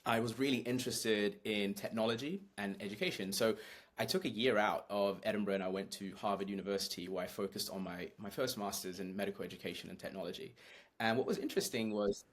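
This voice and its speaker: A man with a mixed New Zealand and British accent speaks in a low, relaxed register. He is friendly and chatty, telling stories with plenty of restarts. His talk is casual podcast conversation. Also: fast. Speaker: guest physician.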